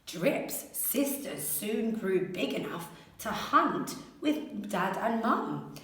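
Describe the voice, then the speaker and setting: A woman teacher reading a children's story aloud in an expressive, theatrical, deliberate way. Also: mid-range voice. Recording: very echoey room, slightly distant mic.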